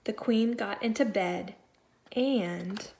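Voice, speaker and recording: A woman teacher reading a storybook aloud to young children in an expressive, deliberate way, stressing key words. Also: mid-range voice, creaky, noisy background. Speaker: second-grade teacher.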